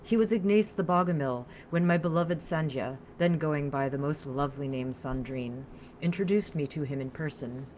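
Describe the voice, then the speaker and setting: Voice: mid-range voice, moderate pace, a little mellow, slight background noise, lightly acted. A narrator voicing an earnest, solemn female vampire in a story, with a flowing, formal French accent. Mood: solemn, reminiscing.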